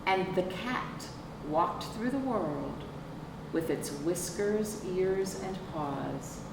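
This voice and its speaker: Middle-aged female storytime reader, expressive and unhurried, questioning young listeners; recorded at a distance in a noisy room. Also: fairly low pitch, gravelly, reverberant. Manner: deliberate, explanatory, asks rhetorical questions. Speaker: children's librarian hosting storytime. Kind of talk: picture book read-aloud with questions to young children. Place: indoor room with sofa. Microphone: camera a few feet away.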